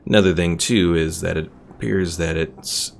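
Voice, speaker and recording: A man's voice: low-pitched, fairly even intonation, moderate pace, gravelly, slight background noise. A man giving casual, easygoing live game commentary, thinking aloud and reading out chat as a streamer.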